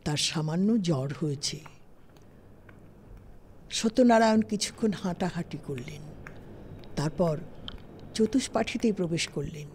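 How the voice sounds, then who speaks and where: Expressive woman narrating a literary story. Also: on the low side. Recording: studio, faint background noise.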